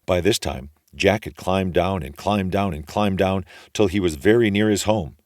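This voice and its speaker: A man reading a children's story aloud as an expressive storyteller. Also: low voice, moderate pace, no room sound, clean studio sound.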